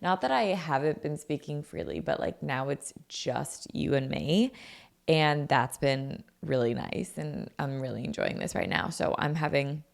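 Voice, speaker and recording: A woman's voice: deep voice, sing-song, measured pace, gravelly. A young adult woman hosting a casual solo podcast from her apartment, her voice scratchy from a sore throat, chatting loosely with lots of "like" and "um". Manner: chatty, peppered with "like" and "um". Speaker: podcast host.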